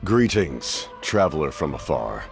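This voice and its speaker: An actor playing an imposing, calculating male schemer in a video game, gravelly, formal and deliberate. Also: sing-song, heavily acted. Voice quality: deep, gravelly. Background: game music.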